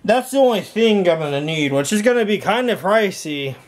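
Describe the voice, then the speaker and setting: Male vlogger, slightly high voice, halting and off-the-cuff, showing his gear on a handheld camera. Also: expressive, slight background noise. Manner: rambling, halting, thinking aloud. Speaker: video creator showing a home intercom and speaker system. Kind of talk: casual walkthrough vlog. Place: indoor garage workshop.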